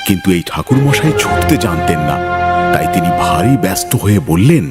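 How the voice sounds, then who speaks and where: A man's voice: low-pitched, expressive, normal speed. A man narrating a scripted children's story as a storyteller. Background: loud music.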